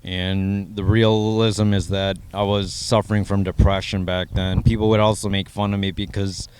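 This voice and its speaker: An American man giving measured, personal interview answers in an earnest, slightly formal way. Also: fairly low pitch, level tone, noisy background. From Minnesota, United States. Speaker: interviewee sharing his adoption story.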